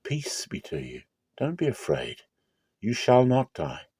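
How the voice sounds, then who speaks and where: A man voicing a solemn, commanding God in a measured, authoritative dramatic scripture reading. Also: medium pitch, wildly swooping pitch, darkish tone, breathy edge, no room sound, clean studio sound, pitched above the performer's own voice, heavily acted. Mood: calm authority. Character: God and his angel, solemn commanding divine male.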